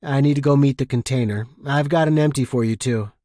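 A man's voice: mid-range voice, fairly quick, mellow, no room sound, studio quality, natural voice. A man voicing a nervous, fragile male space hermit in audiobook dialogue, hesitant and defensive. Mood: businesslike.

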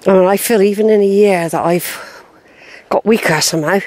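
A woman's voice: medium pitch, normal speed, a little mellow. A woman, short of breath and wheezy, chatting casually to camera as she walks outdoors on a grassy hillside. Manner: chatty, rambling, talks to herself. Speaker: walking vlogger. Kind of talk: casual walking commentary to camera. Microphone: handheld camera, unseen narrator.

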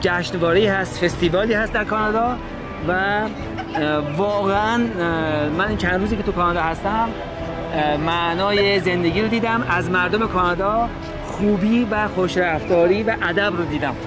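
A man from Iran with a Persian accent, speaking halting English with many pauses and fillers, outdoors. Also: high voice, over music. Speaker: interviewee, visitor. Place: outdoors on a city street.

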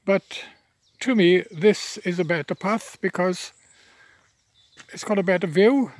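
A man's voice: high voice, unhurried, no room sound. A man in his seventies with a Welsh accent, speaking Welsh as his first language, chatting while out on a country walk and tired from walking. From Wales. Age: 70s. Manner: chatty, plain-spoken, many fillers and restarts. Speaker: local walker filming his path. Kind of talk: rambling walking vlog. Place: outdoors on a rural path by wetland. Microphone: handheld phone camera, speaker off-screen.

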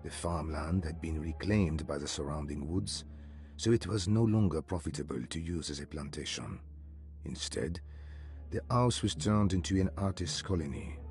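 An actor voicing a solemn male narrator who reads an old archive document in a measured way. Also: low voice, a little mellow. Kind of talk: scripted game narration.

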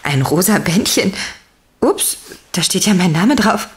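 An actress playing an earnest, emotional seventeen-year-old heroine who also narrates a German audio drama. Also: female voice, on the low side, expressive, unhurried. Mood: moved.

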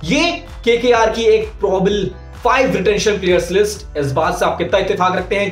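A man in his thirties presenting a cricket news video to camera in a small indoor room, with an energetic, emphatic delivery. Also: roomy sound. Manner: energetic, emphatic delivery with rising and falling pitch. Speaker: sports reporter.

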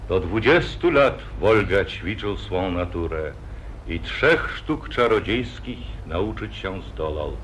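A man's voice: deep voice, animated, slow, a bit bright, slight background noise. A man narrating an old epic in solemn verse, in 1940s radio acting style. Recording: dull lo-fi 1948 radio recording.

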